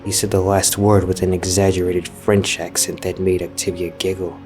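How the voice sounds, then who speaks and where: A man's voice: sits low, little pitch movement, moderate pace. A man narrating a scripted story as a storyteller. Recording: music behind the voice.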